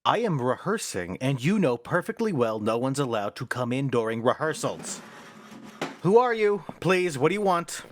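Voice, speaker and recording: An actor playing a pompous, bossy and exasperated theater manager in a radio play, declaiming theatrically. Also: male voice, mid-range voice, big pitch swings, moderate pace, slight background noise, close to an everyday voice. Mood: irritated.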